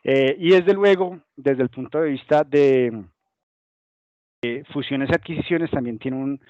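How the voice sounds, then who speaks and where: A man's voice: medium pitch, measured pace, a little mellow, no echo, lo-fi sound. A man from Colombia with a Colombian accent, giving an explanatory business webinar presentation and now and then voicing what companies say. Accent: Colombian Spanish. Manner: explanatory, acts out hypothetical company voices. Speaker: guest presenter.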